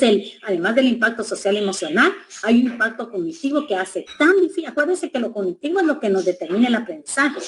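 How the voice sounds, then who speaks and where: A middle-aged woman with a Guatemalan Spanish accent gives an educational presentation in a measured, reflective way, with frequent hesitations. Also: on the high side, slight background noise, low-quality recording. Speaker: education specialist speaker.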